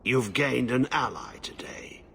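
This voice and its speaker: An actor playing a haunted, corrupted old warden in a video game, speaking cryptically in short halting phrases. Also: male voice, mid-range voice, creaky, sharp tone, a little hiss or hum. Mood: grateful, solemn. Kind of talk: video game drama acting.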